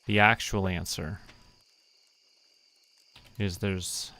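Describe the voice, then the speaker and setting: A young man streaming a relaxed game, talking to himself and counting under his breath as he plans. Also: deep voice, very slow. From Canada. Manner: muttering, counting to himself while planning. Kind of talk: relaxed gameplay commentary.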